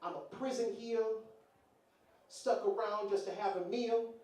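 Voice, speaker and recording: Echoey high-voiced man reciting on stage. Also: steady tone, unhurried, mellow. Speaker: live show performer. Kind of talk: comic poem recital on stage. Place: indoor bar venue, live event. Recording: very echoey live venue, distant, faint noise.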